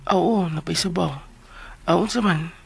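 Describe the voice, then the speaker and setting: A man acting in a radio drama as a chatty, comic household helper who talks in an animated, colloquial way. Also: sits high, normal speed, gravelly, breathy edge, no room sound, heavily acted.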